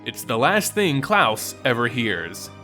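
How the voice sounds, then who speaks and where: A man narrating a scripted story in a theatrical, suspenseful manner with deliberate phrasing. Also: medium pitch, very animated, bright, forceful tone, soft background music.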